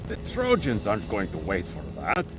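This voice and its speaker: A man's voice: on the low side, huge pitch swings, normal speed, music in the background. A man playing a grim, anxious ancient Greek war leader in a game cutscene, dramatic and emphatic. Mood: bitter, worried. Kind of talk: video game cutscene acting.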